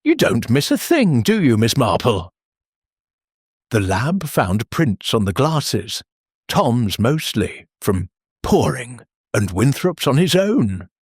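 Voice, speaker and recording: A man's voice: mid-range voice, wildly swooping pitch, slow pace, husky, dry recording, clean studio sound, full character voice. A man voicing a wry, matter-of-fact police inspector.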